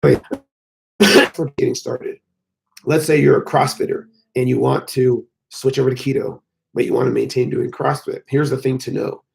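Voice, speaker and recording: A man's voice: medium pitch, fairly even intonation, normal speed, vocal fry, slight background noise, low-quality recording. A man who has lost his voice, talking casually on a live stream about exercise as a fitness coach, rambling with many fillers. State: hoarse, losing his voice.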